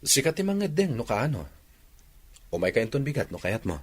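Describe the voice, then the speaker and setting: A man's voice: medium pitch, sing-song, brisk, husky. A man acting an earnest, determined young rancher and father in a village radio drama. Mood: matter-of-fact.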